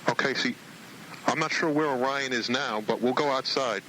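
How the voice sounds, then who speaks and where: A man in a halting, questioning phone conversation, heard on an archival home tape from the 1990s. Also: mid-range voice, sharp tone, slight background noise, rough recording.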